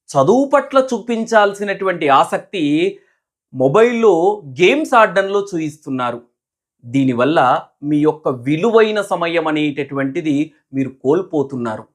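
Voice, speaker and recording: A man narrating a moral story and advising students in a didactic, explanatory manner, in short repeated phrases. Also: fairly high pitch.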